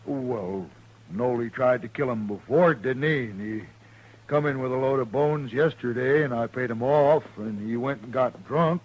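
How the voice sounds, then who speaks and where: An actor playing a grumbling, insistent frontier hide dealer in a radio western, with a folksy rural American accent. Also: male voice, medium pitch, normal speed, dull audio, close to an everyday voice. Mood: accusing. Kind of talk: 1950s radio western acting. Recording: lightly noisy old radio recording.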